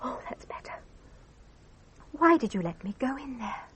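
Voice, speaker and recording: An actress playing a pert, bold, inquisitive young woman with a brisk, teasing manner in a radio drama. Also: high-pitched, animated, fairly bright tone, breathy edge, no echo, full character voice. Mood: recovering, brisk.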